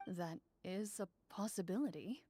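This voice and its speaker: A woman's voice: medium pitch, close to an everyday voice. A woman playing a composed, serious young woman duelist in an anime game, speaking formally and matter-of-factly. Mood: thoughtful. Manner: formal, measured, matter-of-fact. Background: music.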